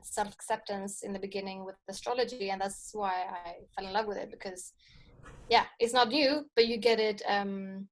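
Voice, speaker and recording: A woman's voice: mid-range voice, steady tone, moderate pace, poor audio. Hesitant woman co-host searching for words. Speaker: astrology podcast co-host. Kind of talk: casual conversation.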